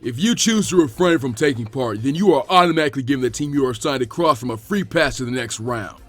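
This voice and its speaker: A man voicing a gruff, imposing ninja exam proctor who commands and sneers in an anime fan fiction reading. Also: mid-range voice, animated, quick pace, fairly bright tone, faint music behind. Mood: sneering.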